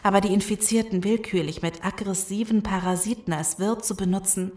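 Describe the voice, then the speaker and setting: An earnest female scientist played by an actress in a horror audio drama. Her voice sits at a middle pitch and rings with room echo. She sounds like someone explaining grim research. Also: steady tone, a little fast, a little mellow, natural voice. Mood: resigned. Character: earnest scientist woman explaining a zombie virus. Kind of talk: German audio drama acting.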